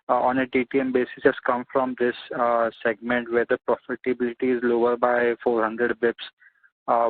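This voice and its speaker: A man's voice: mid-range voice, no room sound. A man working as a financial analyst, putting questions on an earnings call in hesitant, piecemeal phrases. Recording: dry narrowband phone line.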